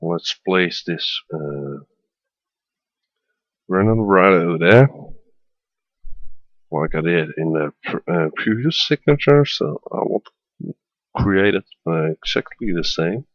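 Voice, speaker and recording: A man from the Netherlands with a Dutch accent, hesitantly narrating a software tutorial as a voiceover with many fillers. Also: low voice, expressive, slow pace, pauses often, dry recording, muffled recording. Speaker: tutorial maker. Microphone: voiceover at a computer.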